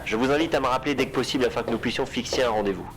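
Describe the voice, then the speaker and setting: A man playing a courteous, awkward notary, formal and halting, leaving a voicemail in a French audio drama. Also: mid-range voice, music in the background, lightly acted. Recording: voicemail message with faint noise.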